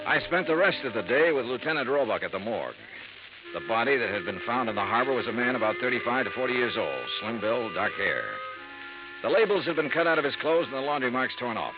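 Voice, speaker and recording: A man playing a brisk wry investigator. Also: mid-range voice, expressive, natural voice. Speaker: narrating lead. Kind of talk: 1950s radio drama acting. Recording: dull old radio broadcast with music.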